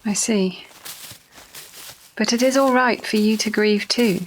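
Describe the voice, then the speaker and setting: A woman playing an earnest, cautious and gently sympathetic Victorian nurse in an audio drama. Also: medium pitch, measured pace, slight background noise, natural voice, poor audio. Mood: sympathetic, concerned.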